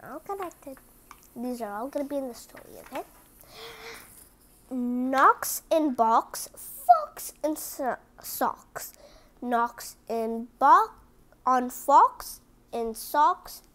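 A woman hosting a children's picture book read-aloud, reading deliberately word by word and chatting to her listeners. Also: high voice, expressive, fairly bright tone.

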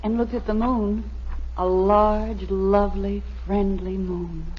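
An American actress playing an affectionate, gently chiding middle-aged wife and mother in a 1950s radio sitcom. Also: medium pitch, level tone, takes it slowly, slightly rough. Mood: fond. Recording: muffled old radio broadcast before an audience.

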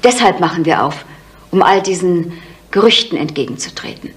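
An actress playing an innkeeper's grown daughter, guarded and resolute, in a 1970s German radio crime drama. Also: female voice, lowish voice, lively intonation, moderate pace, lightly acted. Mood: guarded, firm. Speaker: actor in a crime radio play. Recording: noisy 1970s radio play recording.

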